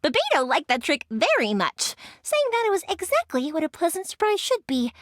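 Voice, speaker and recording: A bubbly young fireworks maker, full of teasing chatter and giggles. Her voice sits high and leaps up and down with excitement. It is recorded close and dry, with no room sound. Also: brisk, dry recording, full character voice. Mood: chatty. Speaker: game character. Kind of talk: game voice lines.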